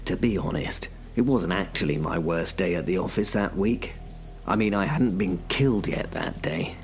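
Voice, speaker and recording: A man's voice: low voice, normal speed, soft background music. A man narrating a first-person horror audio drama in a wry, self-mocking way. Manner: wry, self-mocking, dry British humour. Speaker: narrator and lead character.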